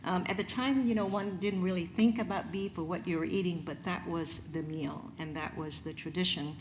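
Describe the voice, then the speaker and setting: A woman's voice: fairly low pitch, steady tone, moderate pace, background noise. A middle-aged woman from Vietnam, a chef giving a conference talk, conversational with many fillers and restarts. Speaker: chef presenter. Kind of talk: conference presentation.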